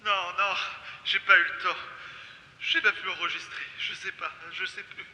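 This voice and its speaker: An actor playing a confused, desperate amnesiac explorer recording halting, fragmented log entries in an audio drama. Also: male voice, high-pitched, steady tone. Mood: panicked, confused. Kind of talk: French audio drama acting, recorded journal. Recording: echoey, long reverb, loud noise.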